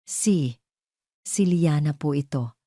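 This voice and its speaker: A woman's voice: low-pitched, animated, takes it slowly, dark tone, no echo, clean studio sound, full character voice. A woman with a Filipino accent playing a wronged wife in an audio story, restrained and careful in how she speaks. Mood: hesitant. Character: wronged wife, hesitant but growing composed and resolute.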